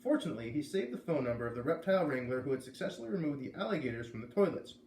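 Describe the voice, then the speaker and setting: Animated man reading aloud, echoey room. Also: mid-range voice, racing. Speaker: storybook reader. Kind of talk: expressive read-aloud narration. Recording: echoey room, faint background noise.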